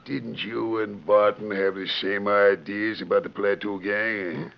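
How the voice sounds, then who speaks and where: An actor playing a gruff, bullying crooked sheriff with an American accent in a 1940s radio Western. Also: male voice, fairly low pitch, little pitch movement, a little slow. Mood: accusing. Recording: dull 1940s radio recording.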